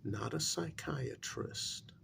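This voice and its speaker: A man in a soft-spoken ASMR role-play as a calm, grave psychologist, talking in a measured, halting, breathy half-whisper with gravel in it. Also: mid-range voice, background noise. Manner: deliberate, measured, halting phrases. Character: calm, grave male psychologist and spiritualist counselling a parent.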